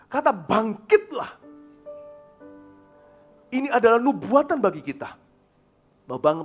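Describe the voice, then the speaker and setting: A middle-aged man from Indonesia preaching a sermon as pastor, emphatic, moving between loud declarations and soft, confiding asides, now and then dropping English phrases. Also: high voice.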